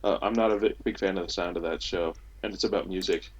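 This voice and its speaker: A man's voice: low-pitched, fairly even intonation, quick pace, breathy edge, lo-fi sound. A young man chatting casually as a panelist in a group podcast discussion, on an amateur internet recording.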